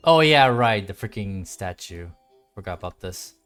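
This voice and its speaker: A man's voice: on the low side, lively intonation, normal speed, fairly bright tone, clean tone. A man giving casual livestream gameplay commentary, rambling and thinking aloud. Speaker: game streamer. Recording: music behind the voice. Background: game music.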